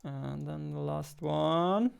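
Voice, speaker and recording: Slow, halting young man thinking aloud. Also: a little high, vocal fry, smooth. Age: 20s. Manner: halting, muttering to himself. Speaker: game developer, host of a devlog. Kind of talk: casual thinking aloud while coding. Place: indoors at a desk.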